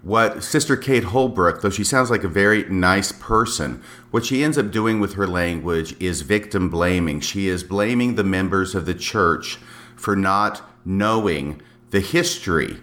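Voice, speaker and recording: A man's voice: deep voice, a little slow, fairly bright tone. A man giving conversational, argumentative commentary as a co-host, speaking emphatically and laying out his case point by point.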